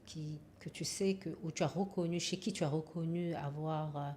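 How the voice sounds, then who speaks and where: Woman podcast host, really low voice, lots of ums, careful and measured, light background hiss. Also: steady tone, mellow. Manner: measured, hesitant with frequent fillers. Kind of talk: interview hosting.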